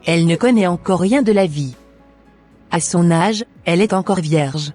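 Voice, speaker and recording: A woman's voice: fairly low pitch, moderate pace, darkish tone, faint music behind, lightly acted. A woman voicing a gossipy schoolgirl friend.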